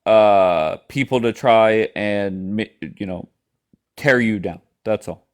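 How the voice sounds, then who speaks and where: A man hosting a live stream debate, calmly and firmly steering his guests in a measured way. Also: lowish voice, clear, resonant tone.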